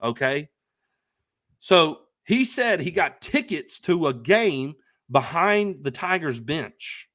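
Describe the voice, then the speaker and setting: A young man co-hosting a podcast, telling a casual anecdote in a rambling, emphatic, excitable way. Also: on the high side, slow pace, no echo, studio quality. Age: young adult. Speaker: podcast co-host telling a story.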